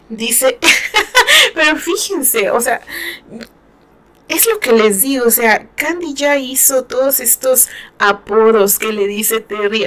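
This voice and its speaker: Chatty woman, slightly high voice. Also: a bit bright. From Mexico. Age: middle-aged. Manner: chatty, laughs often, many fillers. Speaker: book reviewer. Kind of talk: casual chat, reading and commenting on a novel.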